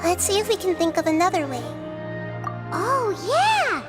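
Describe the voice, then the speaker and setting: A small, childlike anime game girl, speaks in a very high voice with animated swings. The tone is earnest and gentle, easily excited. Music plays underneath. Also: a little slow, full character voice. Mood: concerned, gentle. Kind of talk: scripted anime-style video game acting.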